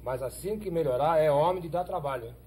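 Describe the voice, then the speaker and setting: A man's voice: fairly high pitch, fast, a little mellow, husky. A man in his thirties acting a confident, courteous backlands hero in a Brazilian telenovela. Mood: businesslike. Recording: old TV soundtrack with faint hum.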